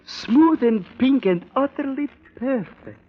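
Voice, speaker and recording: Fussy precise little gem seller, old radio drama, voice swoops all over, dull lo-fi sound. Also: male voice, extremely high pitch, lively intonation, slow pace, breathy edge, heavily acted. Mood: precise, proud. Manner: pedantic, hesitant, formally worded. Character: fussy, precise male artificial-gem maker, self-important. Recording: muffled vintage radio recording.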